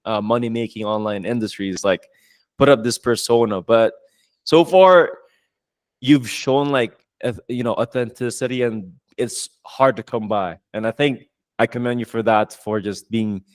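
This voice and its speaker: Man, podcast host, excitable, slangy, middle pitch. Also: moderate pace, dry recording. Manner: excitable, slangy, says "bro" often. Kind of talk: casual interview chat.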